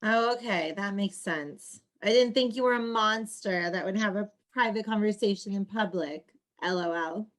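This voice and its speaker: A woman's voice: medium pitch, normal speed, few pauses, natural voice. A woman playing a perky, earnest young homebody in a comedic play reading, with emphatic girlish slang. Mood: teasing.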